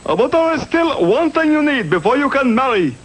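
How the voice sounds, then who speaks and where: An actor voicing comic old men in a cartoon fairy-tale parody, speaking in a theatrical, formal manner. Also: male voice, very high-pitched, sing-song, normal speed, fairly bright tone, heavily acted. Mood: solemn.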